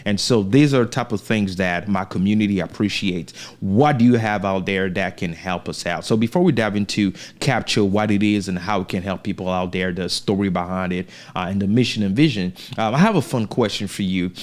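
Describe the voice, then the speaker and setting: Host, male, lowish voice, eager and encouraging, keeps tossing in tag questions. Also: a little fast, few pauses. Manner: enthusiastic, frequent tag questions. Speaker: podcast host. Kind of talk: upbeat interview hosting.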